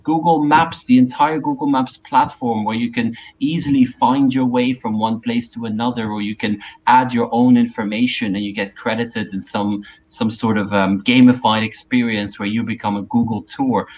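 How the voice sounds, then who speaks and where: A man's voice: medium pitch, fairly even intonation, normal speed, few pauses, darkish tone. A man co-hosting a live online webinar, presenting and chatting over a video call from a small room. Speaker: co-host and presenter. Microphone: wired earphones on a video call. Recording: dull, noisy video call audio.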